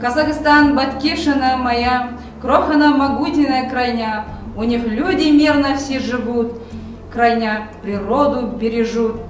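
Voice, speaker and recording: A woman's voice: sits high, steady tone, measured pace, a little hiss or hum. Earnest woman declaiming verse, distant. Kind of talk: poetry recitation over music. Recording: echoey room, far from mic, music behind.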